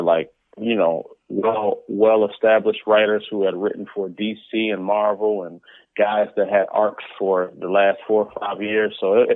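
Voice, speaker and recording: Low-voiced man on a tinny phone line. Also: dry recording. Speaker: interview guest, comic book creator. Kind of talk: casual conversational interview.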